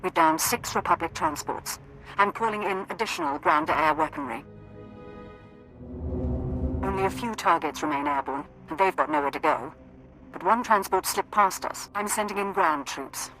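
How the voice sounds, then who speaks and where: A ruthless dark-side commander in a space fantasy game. Her low voice snaps out menacing military orders over light background music. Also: normal speed, low-quality recording. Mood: ruthless, urgent. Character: ruthless female Sith commander directing a war. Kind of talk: dramatic video game voice acting.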